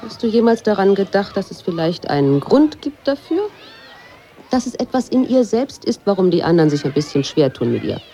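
A woman's voice: medium pitch, big pitch swings, a little fast, warm tone, slight background noise. A woman playing a defensive, dismissive schoolteacher in naturalistic radio play dialogue.

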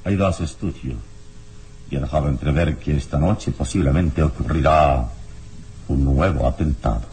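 An actor playing a calm, commanding heroic adventurer in a radio serial, speaking in a measured, formal, authoritative way. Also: male voice, very deep voice, slight background noise. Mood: grave, composed. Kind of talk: radio serial drama acting.

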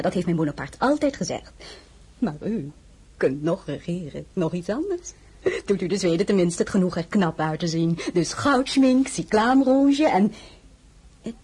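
Breathy vain empress lady, languid and coaxing, mellow voice, swoops around, radio play style. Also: fairly low pitch, heavily acted. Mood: frank, coaxing. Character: worldly French empress, mature, vain, coquettish and shrewd. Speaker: actress. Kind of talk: acted audio drama dialogue.

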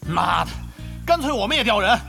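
A man's voice: sits high, very animated, brisk, vocal fry, sharp tone, heavily acted. A man playing a hot-headed, combative online gamer in a Mandarin audio drama. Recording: music bed under the dialogue.

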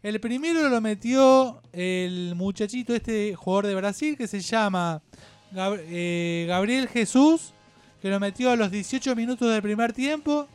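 An Argentine man co-hosting a radio show in rambling, opinionated chat, halting, digressing and repeating himself. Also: high voice, smooth, no room sound, soft background music.

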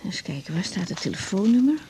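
A woman playing a brisk, caring young doctor in a 1980s radio drama, speaking frankly with a slightly husky voice. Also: medium pitch, very animated, mellow, a little hiss or hum. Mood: brisk, indignant.

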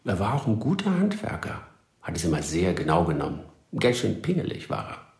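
Surly, gravelly male prisoner, echoey. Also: sits low, huge pitch swings, fairly quick, darkish tone, full character voice. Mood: defensive, grudging. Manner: curt, defensive, colloquial. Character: surly, blunt male prisoner, brother of the dead man. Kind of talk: naturalistic radio drama acting. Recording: echoey room.

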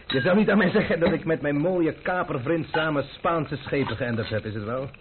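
A man's voice: fairly high pitch, unhurried, close to an everyday voice. An actor playing a lovesick, bitter, proud man over his wine in a radio drama, agitated and rambling. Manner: agitated, rambling, repeating himself. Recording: noisy, narrow-band old radio recording.

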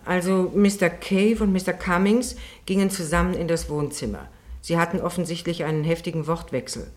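Actress as anxious female witness, very low voice, hesitant and deferential, radio courtroom drama. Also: brisk, a little mellow, lightly acted. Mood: cautious, respectful. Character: timid, anxious woman witness testifying in court.

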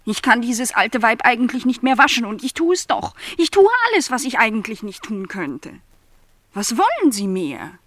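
A lonely young housemaid in a radio play. Her voice is somewhat high, with a wistful, doubting and plaintive way of questioning. The recording is dry, with hardly any room around her. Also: sing-song, moderate pace, fairly bright tone, no room sound. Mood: desperate, frustrated. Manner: plaintive, questioning, formal wording. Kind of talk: literary radio play dialogue.

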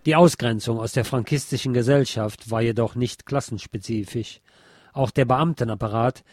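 A man's voice: medium pitch, a little mellow, dry recording, clean studio sound. A man reading a history book aloud as scripted narration for a podcast. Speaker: podcast host and reader.

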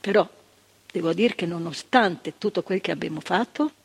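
An older actress, playing a retired psychiatric worker in a stage monologue, talking emphatically with repetitions and asides to the listener. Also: female voice, fairly low pitch, moderate pace, creaky, husky, heavily acted. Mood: fervent. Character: retired female psychiatric worker, proud, impassioned, reflective. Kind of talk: theatre monologue. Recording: stage recording with long reverb.

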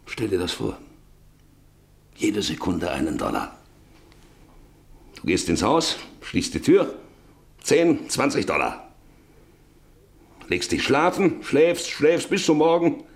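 Domineering farmer patriarch, dramatically swinging pitch. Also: male voice, big pitch swings, slow pace, long pauses. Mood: feverishly obsessed. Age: middle-aged. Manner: commanding, cutting others short. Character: domineering, money-hungry farmer and family patriarch. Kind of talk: German radio drama acting.

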